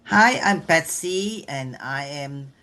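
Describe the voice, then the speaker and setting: An older woman from Brunei, a grandmother, speaking on an online panel in a slow, halting way with pauses. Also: deep voice, expressive, pushed and bright.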